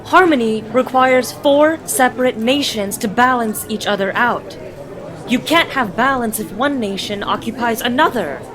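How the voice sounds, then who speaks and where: A woman playing an earnest, idealistic young boy hero in an animated comic dub. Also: fairly high pitch, normal speed, crowd noise, lightly acted. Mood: disbelieving, insistent.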